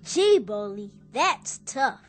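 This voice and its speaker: A woman's voice: fairly high pitch, sing-song, slow. A woman playing an earnest, devoted young boy in a radio drama, intense and colloquial, with an American accent. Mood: concerned. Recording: radio drama with music underneath.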